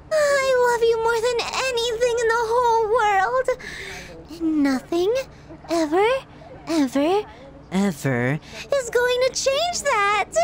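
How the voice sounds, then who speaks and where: A possessive young yandere in an intimate ASMR audio roleplay. Her pitch sits very high and she takes her time, drawing words out. She is cutesy and doting, with obsessive menace under the sweetness. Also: full character voice. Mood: gushing, giddy. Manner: cutesy, doting, giggly, drawn-out delivery.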